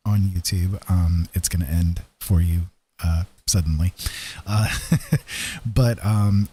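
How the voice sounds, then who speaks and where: Very deep, husky, tired male streamer. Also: mellow. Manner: rambling, pausing, appreciative. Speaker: livestream host, digital painter. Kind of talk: casual livestream chat.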